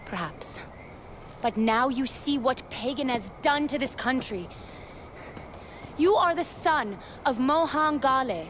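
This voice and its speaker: A woman's voice: high-pitched, expressive, takes it slowly, a little hiss or hum. A woman playing an earnest, frank and respectful young rebel fighter in a game cutscene. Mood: urging.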